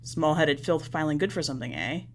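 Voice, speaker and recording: A woman's voice: low-pitched, quick pace, a little hiss or hum, voice pushed down, close to an everyday voice. A woman voicing a suspicious, blunt alien businessman with a clawed hand and green beard in a read-aloud story. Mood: contemptuous. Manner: blunt, contemptuous.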